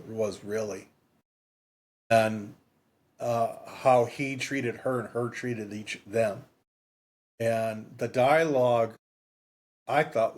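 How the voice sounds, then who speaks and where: A man's voice: medium pitch, a little mellow. Rambling man chatting on a book podcast. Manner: rambling, repeats phrases, self-correcting. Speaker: podcast co-host. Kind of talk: casual unscripted book discussion.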